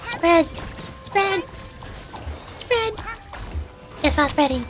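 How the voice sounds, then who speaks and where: Very high-voiced, unhurried woman streamer. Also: lively intonation. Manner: playful. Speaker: VTuber game streamer. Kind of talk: casual gameplay commentary. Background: faint music.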